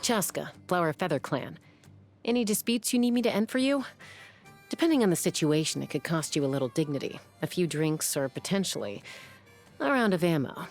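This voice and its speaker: An actress playing a confident young woman warrior in a video game, cool, measured and wry, with a low, slightly gravelly voice. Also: animated, faint music behind. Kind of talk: scripted game character voice lines.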